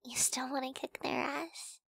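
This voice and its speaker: A woman playing a timid, sweet, wary young wolf girl in an ASMR roleplay, speaking softly and hesitantly, often in whispers, close to the microphone. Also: high voice, steady tone, unhurried, gravelly, slightly rough, dry recording, heavily acted. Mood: shy, subdued. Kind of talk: ASMR girlfriend audio roleplay.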